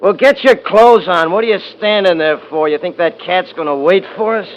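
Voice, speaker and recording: An actor playing a domineering, scornful eldest-brother rancher in a 1950s radio drama, with folksy Western speech. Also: male voice, high voice, moderate pace, highs cut off, close to an everyday voice. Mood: harsh, mocking. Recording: hissy 1950s radio recording.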